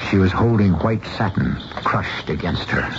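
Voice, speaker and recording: A man hosting and narrating a radio mystery in a deliberate, dramatic, suspenseful scripted style. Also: low voice, lively intonation, heavy background noise. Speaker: host and narrator. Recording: dull vintage radio recording.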